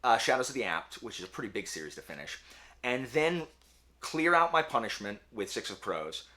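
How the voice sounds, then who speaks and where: Guy doing a chatty book vlog, really lively up and down voice, bright, kinda rambly and slangy. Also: mid-range voice, a little fast. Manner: informal, rambling, playful slang. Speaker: book reviewer, video host. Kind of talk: casual chat to viewers.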